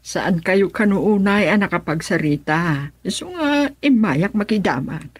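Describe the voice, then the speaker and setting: An actress with an Ilocano accent playing a concerned older mother in a radio drama, her voice a little husky. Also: medium pitch, expressive, unhurried, no room sound.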